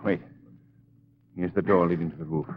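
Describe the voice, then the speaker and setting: A man's voice: low-pitched, steady tone, moderate pace, slight background noise, natural voice. An actor playing a wealthy, cool-headed young man-about-town sleuth in an old radio drama, speaking in a wry, urbane way. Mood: tense, commanding. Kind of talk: 1940s radio drama acting. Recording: muffled old radio recording.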